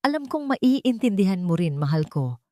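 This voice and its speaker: A woman's voice: mid-range voice, big pitch swings, moderate pace, mellow, no echo, clean studio sound, natural voice. A woman voicing a smug villainous groom in an audio story, tender on the surface and sinister underneath. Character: smug, sweet-talking villainous groom, a deranged captor.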